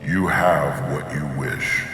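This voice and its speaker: A man voicing a great magical golden fish in a storybook, solemn, slow and commanding, with a cavernous echo effect. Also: extremely low pitch. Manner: slow, commanding, portentous delivery. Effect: cavernous echo reverb. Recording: echoing long reverb, music behind.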